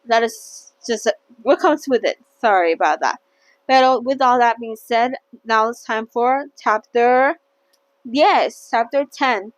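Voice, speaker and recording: Playful high-voiced woman, close and dry. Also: slow, no echo, rough recording. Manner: playful, stumbles and self-corrects when reading. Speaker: host reading a novel aloud. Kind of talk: casual chatty read-along with asides.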